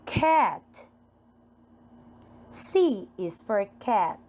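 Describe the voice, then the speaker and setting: A woman with a Filipino accent teaching phonics to young children, enunciating letters and words in a deliberate, repetitive, sing-song way. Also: mid-range voice, very animated, a little mellow.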